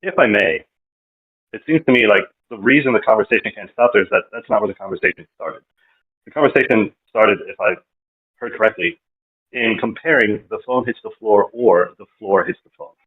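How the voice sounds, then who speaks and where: A man cutting politely into a debate with measured, careful questions. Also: lowish voice. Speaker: debate participant. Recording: tinny phone line.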